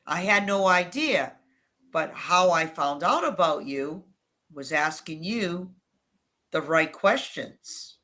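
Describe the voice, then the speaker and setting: A woman with a New England accent giving advice and telling stories in conversation as an interview guest, with measured, emphatic phrasing. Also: on the low side, animated, sharp tone. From New England, United States. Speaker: interview guest, relationship coach.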